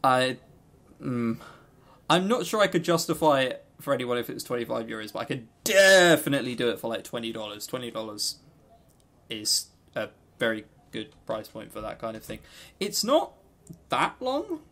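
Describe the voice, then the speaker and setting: A man with a gravelly edge to a medium-pitched voice, co-hosting an informal podcast. He rambles through his opinions in a loose, joking way and swears freely. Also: big pitch swings, normal speed, fairly bright tone. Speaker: podcast co-host. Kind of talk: casual unscripted visual novel review chat.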